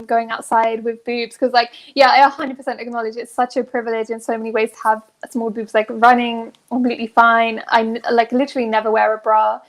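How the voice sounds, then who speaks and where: A young woman in a casual, candid group chat, speaking frankly in a giggly, rambling way as a co-host. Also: a little high. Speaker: podcast co-host.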